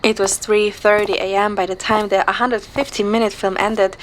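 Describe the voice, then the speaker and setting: A woman's voice: mid-range voice, level tone, normal speed, a little hiss or hum. A young woman narrating a romantic story in the first person and acting out dialogue, over music. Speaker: amateur narrator and voice actor. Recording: music behind the voice.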